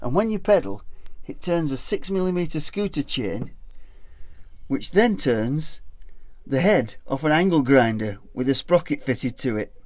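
A man in a garage workshop, calmly talking through how he built his boat step by step, recorded on a handheld phone. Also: a little high, measured pace, no echo. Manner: plain, matter-of-fact step-by-step talk. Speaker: hobby boat builder. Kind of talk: casual DIY explanation.

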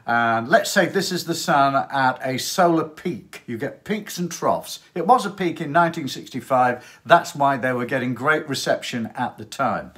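A man's voice: mid-range voice, expressive, normal speed, few pauses, a bit bright. An older man of about sixty presenting to camera in a chatty explainer style, asking rhetorical questions and making playful asides. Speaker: presenter of a hobby radio channel. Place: small attic radio room, indoors.

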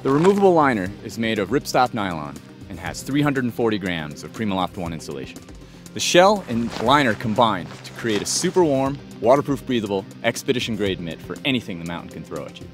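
A man's voice: lowish voice, big pitch swings, fairly quick, soft background music. A man in his thirties, an athlete ambassador, enthusiastically presenting winter mitts outdoors in the snow. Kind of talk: product presentation. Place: outdoors on a snowy mountain.

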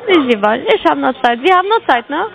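A woman's voice: high-pitched, big pitch swings, fast talker, vocal fry, faint music behind, rough recording. A woman making a scam sales call on the phone, pushing with repeated questions in broken grammar. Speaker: scam caller.

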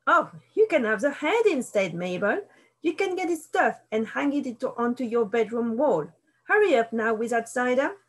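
A woman's voice: on the high side, sing-song, moderate pace. A woman doing a bossy, impatient farmer's wife. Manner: sharp, commanding.

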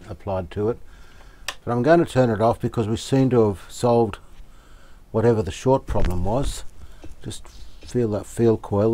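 A man giving casual, unhurried commentary as he works, thinking aloud. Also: on the low side, mellow. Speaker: host, radio restorer. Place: small cluttered indoor workshop. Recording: clear background noise, home workshop.

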